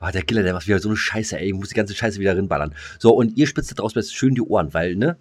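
A man's voice: fairly low pitch, very fast. A middle-aged man with a German accent chatting casually as a podcast co-host, blunt and slangy, teasing his partner. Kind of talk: casual, bantering chat.

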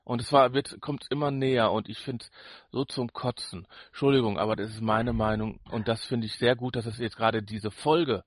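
A man's voice: medium pitch, fairly even intonation, normal speed, no echo. A man with a German accent co-hosting a podcast in a blunt, colloquial, opinionated way, often repeating himself. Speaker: podcast co-host. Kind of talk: casual opinionated chat.

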